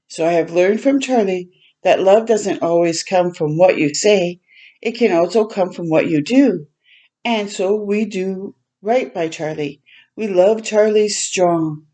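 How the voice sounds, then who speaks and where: A woman reads a children's picture book aloud at a slow, unhurried pace. Her voice is soft-edged and mellow, sitting a little low. She speaks gently, stepping out of the text to explain things simply to young listeners. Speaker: read-aloud storytime host for children.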